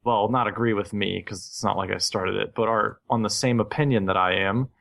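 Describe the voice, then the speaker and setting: A man's voice: on the low side, fairly even intonation, fairly quick, gravelly, a bit bright. A man co-hosting a casual gaming podcast, talking in an opinionated, ranting way. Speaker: podcast co-host.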